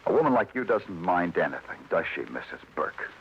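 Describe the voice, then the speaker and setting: Hard-boiled American detective, low, terse and brooding, vintage radio crime drama, muffled sound. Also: male voice, very animated, fairly quick, creaky, full character voice. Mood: terse, probing. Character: weary, hard-boiled New York police detective. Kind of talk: 1940s radio crime acting, dramatic narration. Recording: dull vintage radio recording, faint music.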